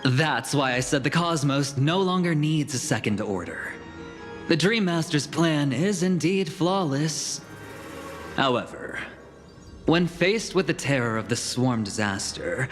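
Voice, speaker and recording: A man's voice: on the high side, expressive, a little slow. A man voicing a smug, self-assured gambler in a video game, with a theatrical, taunting delivery. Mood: triumphant, mocking. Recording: long reverb, music underneath.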